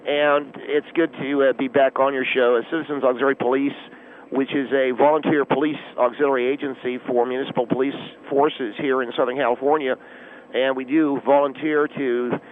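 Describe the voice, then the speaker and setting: A man's voice: mid-range voice, little pitch movement, brisk, lightly acted. Guy doing a earnest officious volunteer cop calling into radio comedy, stiff formal talk, thin noisy phone sound. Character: earnest, self-important volunteer auxiliary police spokesman. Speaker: phone-in guest. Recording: tinny phone line with noise.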